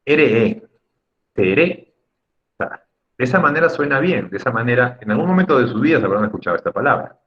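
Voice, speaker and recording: A man's voice: medium pitch, big pitch swings, brisk, muffled recording. A man teaching a class in an emphatic, didactic way, repeating key words and checking in with short tag questions. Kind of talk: Spanish lesson on accent rules for students.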